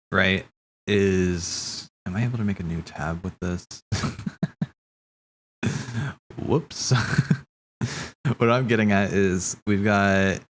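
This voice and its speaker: A man streaming casual, rambling theory commentary, thinking aloud haltingly, correcting himself and swearing casually. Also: deep voice, a bit bright, a little hiss or hum.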